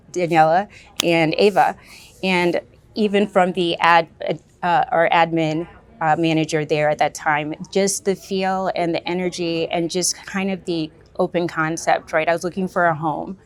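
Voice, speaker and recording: A woman speaks as a podcast guest, reflective and pausing to find her words. Her voice sits slightly low. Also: steady tone, smooth. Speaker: podcast guest, trade show staff. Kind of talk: casual interview chat.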